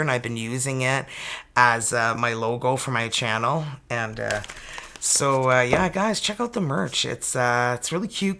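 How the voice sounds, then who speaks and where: A tarot reader in their fifties, talking in a loose, chatty way and breaking into exclamations. Their speaking pitch sits in the middle of the usual range. Card quotes are read out slowly and carefully. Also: pushed and bright, a little hiss or hum. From Canada. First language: French. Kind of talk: casual tarot reading to camera.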